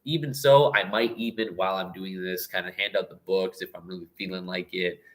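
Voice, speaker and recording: A young man hosting a casual livestream, rambling and stop-and-start, a little hoarse. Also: low voice, steady tone, brisk. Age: young adult. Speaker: livestream host.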